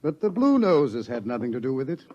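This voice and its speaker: An actor playing an authoritative middle-aged scientist who lectures deliberately and ominously about coming doom, in an old radio drama style. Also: male voice, medium pitch, very animated, dark tone. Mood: darkly wry. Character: authoritative older male scientist explaining impending doom. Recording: dull lo-fi old radio recording.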